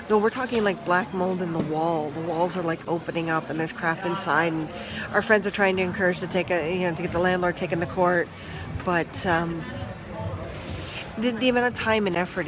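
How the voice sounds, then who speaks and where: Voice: mid-range voice, background noise. A vlogger from Toronto, chatting casually with live viewers from a flea market stall, rambling with lots of restarts and trailing asides. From Toronto, Canada. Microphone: handheld phone. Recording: busy outdoor market crowd.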